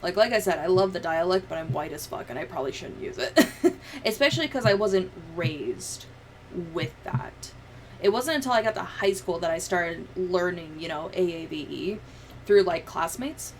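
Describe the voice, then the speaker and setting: A woman's voice: lowish voice, animated, moderate pace, low-quality recording. Casual, sweary woman streamer with background noise. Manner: sweary, informal, giggly. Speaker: livestreamer. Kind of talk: casual livestream chat, storytelling.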